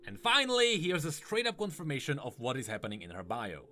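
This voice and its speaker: A man's voice: medium pitch, wildly swooping pitch, quick pace, sharp tone, soft background music. A man giving scripted explanatory commentary in the style of a video narrator. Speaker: lore explainer.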